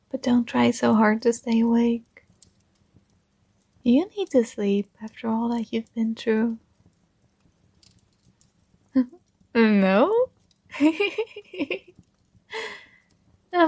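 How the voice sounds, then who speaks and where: A doting yet controlling fantasy princess, acted by a woman, speaks in a high, creaky voice at a very unhurried pace. She coaxes and gently gives orders as in an intimate ASMR roleplay. The sound is close and very dry. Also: no room sound. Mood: soothing, coaxing. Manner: softly coaxing, doting, gently commanding. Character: possessive, tender yandere dream princess with magic powers.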